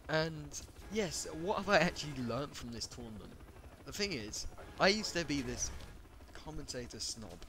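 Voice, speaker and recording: A man's voice: very animated, normal speed, fairly bright tone, a little hiss or hum. A man chatting casually about gaming commentary, rambling and correcting himself as he goes. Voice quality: says he has a high voice.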